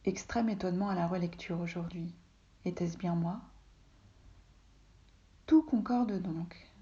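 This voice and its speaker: A woman from Russia whose first language is Russian, calmly reading a literary text aloud from her home office, often soft and breathy. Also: lowish voice, unhurried, highs cut off. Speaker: reader, translator.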